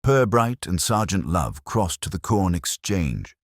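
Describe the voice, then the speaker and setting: A man reading a scripted mystery story in a measured, wry, literary way. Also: low voice, very animated, no room sound, clean studio sound. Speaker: narrator.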